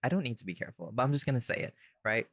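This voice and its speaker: A man hosts an unscripted podcast in a mid-pitched voice with a dry, close sound. He rambles and banters, often correcting himself and putting on a playful show-host formality. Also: lively intonation, very fast, fairly bright tone, no echo. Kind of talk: casual unscripted podcast chat, playful mock-formal introductions.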